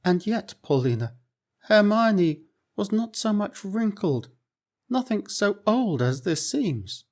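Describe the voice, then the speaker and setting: A man's voice: high voice, big pitch swings, slow pace, darkish tone, voice pushed up, full character voice. A man voicing a grieving, remorseful older king in a storybook reading, speaking in a sorrowful, earnest way. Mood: wondering.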